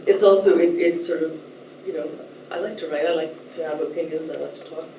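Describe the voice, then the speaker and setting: A woman from Nepal giving a thoughtful, measured talk as a writer, at times reading a translated essay aloud, with soft hesitations. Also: deep voice, steady tone, creaky, a little hiss or hum. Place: lecture room. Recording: roomy echo, distant mic, faint ambience.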